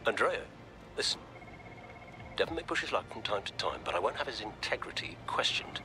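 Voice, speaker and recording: An actor playing a domineering middle-aged racing team owner in a video game, quiet, clipped and commanding. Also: male voice, lowish voice, sing-song, fairly quick, music in the background. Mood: quietly threatening. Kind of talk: video game cutscene acting. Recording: clear background ambience, vehicle noise.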